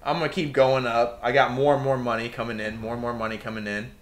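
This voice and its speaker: A man's voice: mid-range voice, flat delivery, fast. A young man co-hosting a casual podcast, ranting with passion and bursting into emphatic outbursts. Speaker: podcast co-host.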